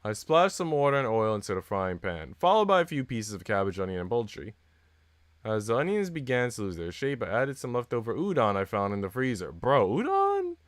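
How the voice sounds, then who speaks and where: A man's voice: mid-range voice, huge pitch swings, brisk, no room sound, clean studio sound. A man live streaming, switching between reading a visual novel aloud and slangy, joking chat with casual swearing. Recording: music playing behind the voice. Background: plucked guitar music.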